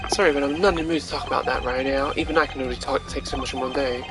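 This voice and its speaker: A woman's voice: rumbling low, fairly even intonation, racing, music in the background, pitched below the performer's own voice. A woman voicing a cool but self-pitying young male prosecutor in a video game, complaining theatrically. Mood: weary.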